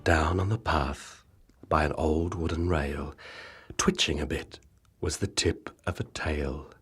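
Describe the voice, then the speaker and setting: A man narrating a children's picture book in rhyme, with dramatic, measured, expressive storytelling. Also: a bass voice. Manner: dramatic, measured storytelling with rhythmic rhyming delivery. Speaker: audiobook narrator. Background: music.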